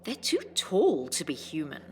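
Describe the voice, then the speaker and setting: Animated, stubborn old woman. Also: sits low, unhurried, a little hiss or hum, heavily acted. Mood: appalled. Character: old female care home resident, stubborn conspiracy believer. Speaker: voice actor. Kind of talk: audio drama acting.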